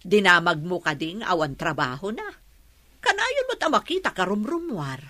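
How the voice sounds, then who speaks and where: A woman's voice: fairly low pitch, sing-song, moderate pace, sharp tone, no room sound, clean studio sound, full character voice. A woman playing an outspoken, reproachful mother arguing in a radio drama with an Ilocano Filipino accent.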